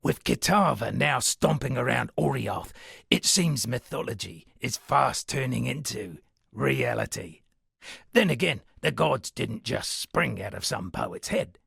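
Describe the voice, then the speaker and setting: An actor voicing an eccentric washed-up sea captain and would-be poet in a video game, gravelly and husky, with theatrical, rambling, florid delivery. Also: male voice, mid-range voice, lively intonation, measured pace, fairly bright tone, dry recording, studio quality, exaggerated voice. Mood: ominous, wry. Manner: theatrical, rambling, florid old seafaring turns of phrase. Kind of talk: video game character dialogue.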